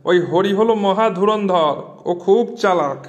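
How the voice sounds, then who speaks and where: A man plays a meddling village schemer in a moral-story cartoon. His voice sits high for a man. He talks in a pushy, scornful and persuasive way, like someone steering a gullible listener. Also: normal speed, close to an everyday voice. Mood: sly persuasion. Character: scheming older village acquaintance sowing distrust between friends.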